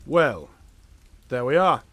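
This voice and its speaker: An actor playing a blunt, skeptical police constable in a suspense drama, official in manner. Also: male voice, medium pitch, big pitch swings, takes it slowly, fairly bright tone, no echo, exaggerated voice. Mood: dryly probing. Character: plain-spoken, skeptical English police constable. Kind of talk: radio suspense drama acting.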